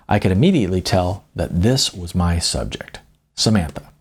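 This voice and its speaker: A husky male voice with plenty of gravel reads an audiobook as its author. His intonation moves around a lot, rising and dropping for emphasis. The phrasing is deliberate and storylike. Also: lowish voice, a little mellow. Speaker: author reading own novella. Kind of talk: audiobook narration, first-person storytelling.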